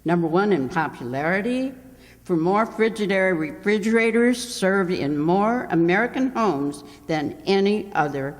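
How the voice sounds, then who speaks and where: A proud old-time radio announcer played by a woman, with a lowish voice and an earnest, promotional, declamatory delivery. The sound carries the long reverb of a hall. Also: a little mellow. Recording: reverberant hall stage performance.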